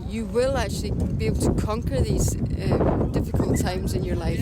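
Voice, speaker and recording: A woman with a Scottish accent speaking candidly and reflectively in an outdoor interview, often restarting her sentences. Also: mid-range voice. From Scotland and Denmark. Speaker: interview guest. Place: outdoors by a city river. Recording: outdoor recording with loud street noise. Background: traffic and city ambience.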